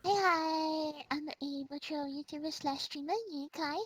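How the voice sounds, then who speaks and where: A streamer guest with a Singaporean English accent, chatting excitedly and giggling through rambling stories in a casual interview. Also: high-pitched, measured pace, dry recording.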